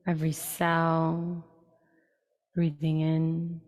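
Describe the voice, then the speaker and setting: A woman leading a guided meditation in a slow, soothing style, giving unhurried instructions in short phrases with long pauses. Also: deep voice, completely flat delivery, darkish tone. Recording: long reverberant tail.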